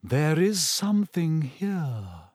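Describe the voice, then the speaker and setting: A man voicing a dreamy, theatrical older female seer who murmurs in a breathy, mystical way. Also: a little high, sing-song, slow, a little mellow, no echo, clean studio sound, pitched above the performer's own voice, heavily acted.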